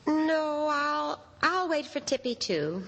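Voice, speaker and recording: A woman's voice: high voice, animated, slow pace, exaggerated voice. Worried radio mother, noisy recording. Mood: subdued. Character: gentle, worried mother, soothing yet firm. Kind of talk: old-time radio drama acting.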